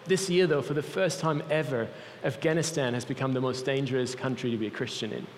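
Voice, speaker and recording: A man's voice: mid-range voice, steady tone, fairly quick, slight background noise. Swiss-accented man preaching in a hall. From Switzerland. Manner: earnest, personal storytelling. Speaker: guest speaker for a mission organization. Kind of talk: sermon. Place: large church hall. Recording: long reverb of a church hall.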